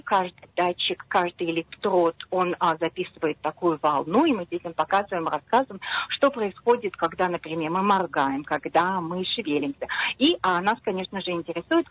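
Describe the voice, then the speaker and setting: A middle-aged woman scientist explaining her research patiently on a radio interview, often pausing on hesitations. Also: on the low side, no echo. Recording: dull dry radio studio sound.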